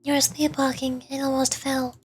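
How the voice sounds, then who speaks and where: A woman performs a small fairy girl with a very high, youthful voice. The delivery is earnest game dialogue, over a light music bed. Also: level tone, normal speed, lightly acted. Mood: relieved. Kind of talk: video game dialogue acting.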